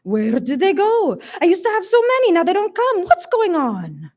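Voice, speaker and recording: Lady doing a fed up hungarian woman in her forties, blunt veteran stripper in a story, voice way up high. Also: wildly swooping pitch, normal speed, darkish tone, heavily acted. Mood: exasperated. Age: mid-forties. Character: straight-shooting, theatrical veteran stripper mother, bewildered and exasperated.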